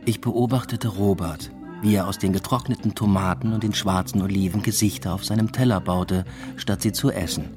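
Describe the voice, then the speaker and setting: A man's voice: lowish voice, fairly even intonation, fairly quick, hardly any pauses, music in the background, close to an everyday voice. A man acting in a literary radio drama as a secretive, restless lover, speaking in an understated, matter-of-fact way. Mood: reflective, subdued. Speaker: first-person narrator and lead actor. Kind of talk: literary radio drama, intimate narration and dialogue.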